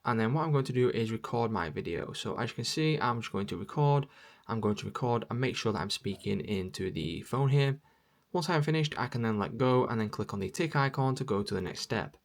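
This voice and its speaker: Man, mid-range pitch, tutorial presenter, step-by-step instructional narration. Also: expressive, a little fast, vocal fry.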